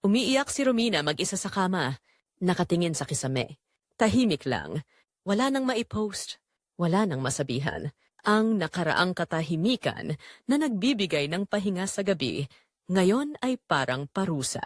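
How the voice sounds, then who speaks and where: Woman, Filipino accent, dramatic scripted storytelling, slightly low pitch, very lively intonation. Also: moderate pace, no echo, studio quality. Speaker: story narrator.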